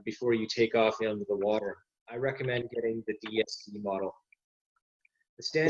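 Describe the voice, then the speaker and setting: A man giving an informal instructional talk as a presenter, explaining things plainly and a little haltingly. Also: mid-range voice. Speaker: presenter giving a safety lesson to boat reenactors. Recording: dull, lo-fi voice recording.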